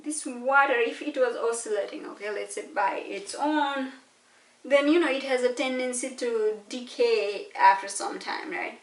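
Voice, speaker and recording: A woman with a Nepali accent teaches in a conversational, questioning way, as a lecturer would. Her voice sits fairly high. The recording is very roomy and picked up a little way from the microphone. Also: moderate pace. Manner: conversational, explains with questions and frequent checking tags. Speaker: physics lecturer. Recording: echoey room, distant mic.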